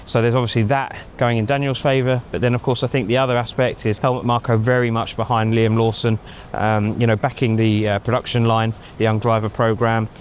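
A middle-aged man giving measured opinions in a casual outdoor chat, thinking aloud with frequent ums, on a lapel mic. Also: medium pitch, little pitch movement, a little hiss or hum. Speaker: pundit. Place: outdoors in a racing paddock at night. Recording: faint outdoor street ambience.

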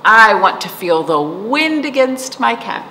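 Woman voicing a cheeky, high-pitched wild little acorn. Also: very animated, pushed and bright, full character voice. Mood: cheering. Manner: exuberant, taunting, drawn-out exclamations. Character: wild little boy acorn, cheeky, carefree thrill-seeker. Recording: roomy reverb with faint room noise.

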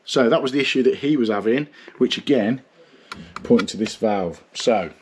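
A man's voice: mid-range voice, moderate pace, darkish tone, slight background noise. A man, a plumber vlogging frankly about a stressful job, swearing and rambling a little. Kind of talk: casual vlog with job commentary. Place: parked van, then a small bathroom.

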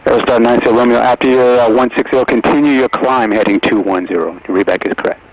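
A man's voice: medium pitch, steady tone, quick pace, no echo, low-quality recording. A man working as an air traffic controller, giving formal instructions over an aviation radio. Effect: aviation radio transmission.

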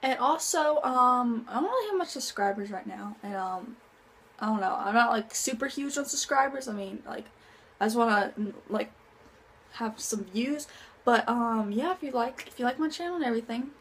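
A woman's voice: a little high, expressive, normal speed, a bit bright, husky. A young woman vlogging to a phone held at arm's length in her bedroom, chatty, with many ums and restarts. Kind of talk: casual vlog talk to camera. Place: bedroom at home, indoors.

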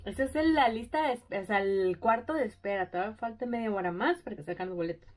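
A young woman chatting excitedly in a casual vlog, thinking aloud as she goes. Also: mid-range voice, fast. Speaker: vlogger.

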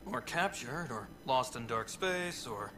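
A man's voice: medium pitch, expressive, measured pace, close to an everyday voice. Man acting a flustered, hesitant station worker. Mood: flustered, relieved. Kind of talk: video game dialogue. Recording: noisy capture of game audio.

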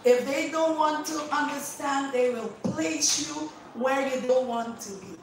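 A high-voiced, slow-paced woman from Suriname with a Surinamese accent, English not her first language, speaking earnestly into a handheld mic. Her voice rings through a very echoey seminar room, picked up from a distance with clear background noise. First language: not English; Dutch learned in school. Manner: earnest, direct, speaks without notes. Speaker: guest speaker, ambassador. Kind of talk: unscripted public speech. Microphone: handheld microphone. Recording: echoey room, far from camera, noisy.

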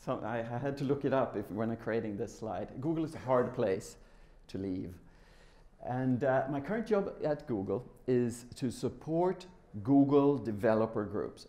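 Mellow man giving a talk. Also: mid-range voice. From Sweden. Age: middle-aged. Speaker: conference speaker, software developer. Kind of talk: informal tech conference talk. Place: large lecture room with a seated audience.

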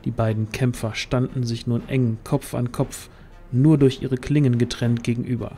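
A man's voice: mid-range voice, flat delivery, moderate pace, warm tone, faint music behind. A man narrating a scripted fantasy audio drama as the storyteller.

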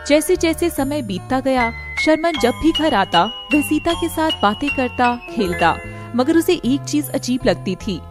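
An Indian woman reads a scripted tale as its narrator. Her voice sits high, and music plays clearly behind her storytelling. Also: expressive, measured pace.

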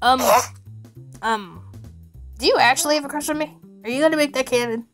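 Excitable young woman doing a playful game roleplay, high voice, bit of music behind her. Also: a little fast. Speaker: gamer in a group roleplay video. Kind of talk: improvised Minecraft roleplay with friends.

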